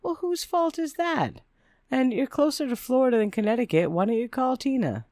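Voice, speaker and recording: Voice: medium pitch, big pitch swings, moderate pace, darkish tone, no echo, clean studio sound. A narrator voicing an exasperated, sarcastic ex-wife holding firm, testy in manner, in a personal story. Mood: indignant, sarcastic.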